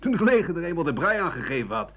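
Husky, bitter old man. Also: a little high, very animated, rapid-fire, a bit bright. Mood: defiant. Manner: rambling, coarse, sardonic, stammering at times. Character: bitter, self-justifying old French doctor-writer looking back. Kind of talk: radio play monologue. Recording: dull lo-fi 1980 radio recording.

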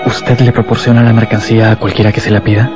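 An actor playing a probing, incredulous comrade in a radio drama. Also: male voice, lowish voice, level tone, fast talker, natural voice. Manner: inquisitive, incredulous. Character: incredulous, probing male comrade questioning a fanatic. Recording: loud music behind the voice.

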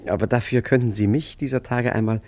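A man's voice: medium pitch, fairly even intonation, fast talker, a little mellow, close to an everyday voice. A man acting in a 1950s German radio play, playing a roguish, talkative art forger with a wry, mock-grandiose manner. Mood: boastful, wry. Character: roguish, wry male art forger posing as poor artist.

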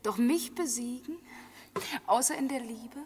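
An actress playing an innocent, lovestruck young princess full of wonder in a classic radio play, with a lilting theatrical delivery. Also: female voice, on the high side, a little slow, a little mellow, a little hiss or hum, natural voice. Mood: playful, loving. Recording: long reverb, radio drama.

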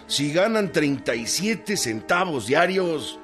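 An actor playing a fair-minded local political chief with authority, deliberate and commanding, in a radio drama. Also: male voice, fairly high pitch, sing-song, a bit bright, soft background music, exaggerated voice. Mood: questioning.

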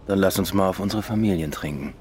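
A man's voice: low-pitched, fast, a little mellow, slight background noise, close to an everyday voice. An actor playing a troubled, sardonic man in a radio drama, speaking in terse, dry sentences. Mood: wry, subdued. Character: troubled, sardonic man confiding in a drinking companion. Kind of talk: naturalistic radio drama dialogue.

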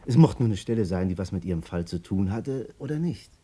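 A man's voice: sits low, expressive, fairly quick, dark tone, breathy edge. A man acting a wry narrator in a 1950s radio drama, confiding a long saga to his listeners in theatrical fashion.

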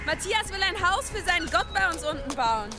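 A woman's voice: very high voice, brisk, loud music behind the voice. A woman playing a bold, teasing young villager with a cheeky manner in a radio drama. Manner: cheeky, lively. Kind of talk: German radio drama acting.

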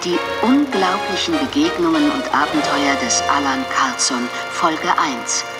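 A woman's voice: low voice, lively intonation, measured pace. A woman announcer reading a scripted episode announcement for a radio drama. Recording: loud music under the voice.

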